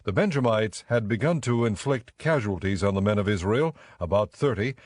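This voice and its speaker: A man's voice: on the low side, lively intonation, moderate pace, darkish tone, dry recording, studio quality. A man reading scripted dramatic Bible narration as the narrator.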